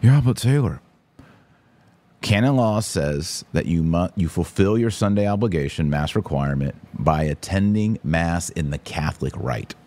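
Man, deep voice, podcast host, casual commentary, slangy, emphatic. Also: animated, moderate pace, warm tone. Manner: informal, opinionated, emphatic, slangy. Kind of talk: casual livestream commentary, answering viewer comments.

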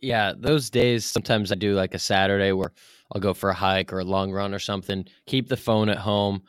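A man's voice: fairly low pitch, fairly even intonation, a little fast, smooth, dry recording, studio quality. A man hosting an interview in a casual, informal, chatty way, peppering his talk with "like". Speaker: podcast host, interviewer.

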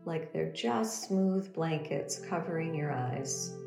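A woman leading a guided meditation as a teacher, speaking in a gentle, soothing, unhurried instructional manner. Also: low voice, expressive, gravelly. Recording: roomy echo under background music. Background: meditation music.

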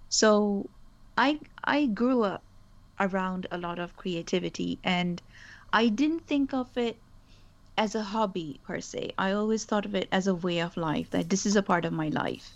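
Interview guest, woman, native Urdu speaker, thoughtful and hesitant, middle-pitched voice on muffled audio. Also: vocal fry. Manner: thoughtful, with frequent restarts and fillers. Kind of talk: conversational interview.

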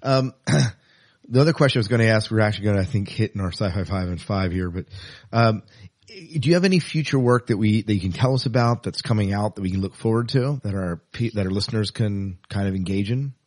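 A man's voice: on the low side, fast, a little mellow. A man hosting a podcast interview, chatting informally and often giving short affirmations. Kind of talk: casual interview chat.

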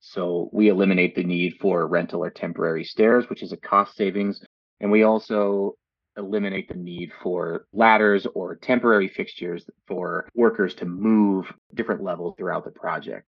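Deep, husky man, dull lo-fi sound. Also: fairly even intonation, moderate pace, no echo. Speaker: interview guest, sales manager. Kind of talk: explanatory interview talk.

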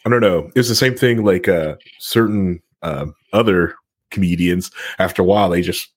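A man chatting casually and giving his opinions in an animated way. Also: low voice, moderate pace, slightly rough. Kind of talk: casual chat.

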